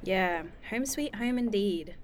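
Sardonic sci-fi drama heroine: guarded, casual, lively intonation, slight noise behind. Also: female voice, mid-range voice, takes it slowly. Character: wry, guarded woman time traveller meeting her double. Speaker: voice actor in audio drama. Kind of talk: naturalistic audio drama acting.

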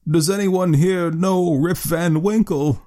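A man's voice: high-pitched, huge pitch swings, unhurried, creaky, voice pushed up, exaggerated voice. A man voicing a bewildered, poor old village man who pleads in a raised voice. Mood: anxious.

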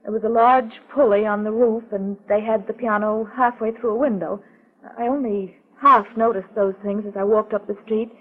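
A woman's voice: medium pitch, steady tone, normal speed, slightly rough, lightly acted. Actress as anxious woman, tinny old radio sound. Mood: uneasy, recounting. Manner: earnest, hesitant, dramatic storytelling. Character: frightened but composed young woman recounting attempts on her life. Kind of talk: 1940s radio mystery drama acting. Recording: muffled, tinny 1940s radio recording.

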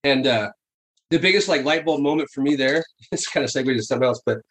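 A man's voice: medium pitch, fast, fairly bright tone, a little hiss or hum. A man chatting casually on a podcast, telling stories with frequent laughs and swearing. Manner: storytelling, swears freely, laughs often.

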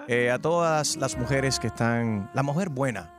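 A man's voice: mid-range voice, measured pace, over music. A man hosting a radio show with teasing, jokey banter. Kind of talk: bantering morning radio talk.

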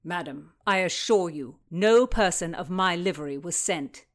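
A woman's voice: lowish voice, measured pace, lightly acted. Woman playing pompous, silver-tongued Tudor lord. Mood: defensive. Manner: pompous, flowery. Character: haughty, baiting, flattering nobleman. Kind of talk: dramatized historical fiction reading.

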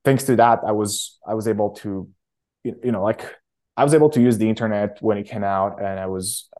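A man, answering interview questions in a casual, halting way with many restarts. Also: fairly low pitch, fairly even intonation, a little mellow. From Romania. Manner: halting, frequent self-restarts and fillers. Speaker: startup founder guest.

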